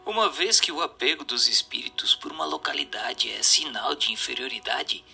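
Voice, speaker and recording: Bright, creaky Brazilian man reading. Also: mid-range voice, normal speed. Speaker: narrator and questioner. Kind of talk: scripted audiobook reading, question and answer. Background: music.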